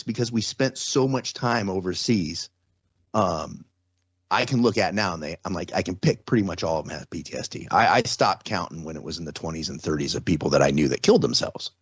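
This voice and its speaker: A middle-aged man in relaxed conversation who rambles and restarts his sentences as he works toward his point. The recording is dry and a little dull. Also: low-pitched, moderate pace, breathy edge, no room sound. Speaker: podcast guest. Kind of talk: casual conversation. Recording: slightly dull, dry sound.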